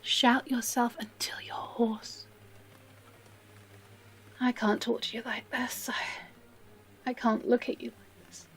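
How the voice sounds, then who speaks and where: A woman playing an obsessive, possessive librarian captor in a dramatic audio roleplay, breathy and often whispering, with theatrical pauses and a tenderly menacing manner. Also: on the high side, flat delivery. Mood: indignant, hurt.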